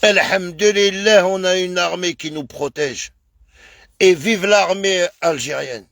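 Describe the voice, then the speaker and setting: High-voiced, slow, emphatic French man. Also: vocal fry, a bit bright, no room sound, clean studio sound. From France. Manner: emphatic, repetitive, addresses viewers as brother. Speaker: live streamer. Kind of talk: casual live-stream rant.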